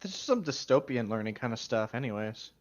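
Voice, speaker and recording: A man's voice: medium pitch, animated, fairly quick, muffled recording. A man in casual chat, reacting as a podcast co-host.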